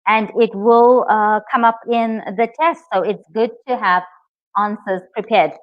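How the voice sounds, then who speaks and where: A woman whose native language is English, teaching a live online IELTS lesson, speaking slowly and clearly and cheering her students on. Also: medium pitch, steady tone, no echo, dull audio. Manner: slow, clear, encouraging, reads out student comments.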